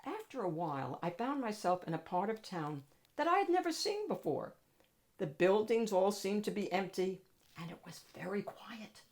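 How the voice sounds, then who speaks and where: A woman reads a children's picture book aloud as host, with expressive, dramatic emphasis. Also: mid-range voice, normal speed. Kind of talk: animated storybook narration for children.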